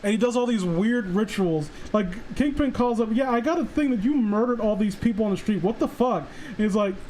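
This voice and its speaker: High pitched guy rambling and swearing on a stream, excitable fan talk, bit of background noise. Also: brisk, low-quality recording. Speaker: livestream host. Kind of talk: casual chat about comic book characters.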